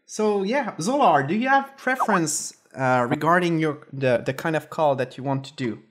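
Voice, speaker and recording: Fairly high-voiced man hosting prank calls. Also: normal speed. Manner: bossy and pushy on prank calls, relaxed between them. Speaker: prank call show host. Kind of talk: casual podcast chat.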